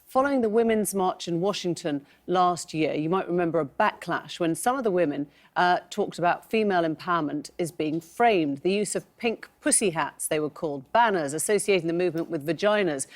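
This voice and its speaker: A woman moderating a studio panel debate, brisk and steering the discussion along. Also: on the low side.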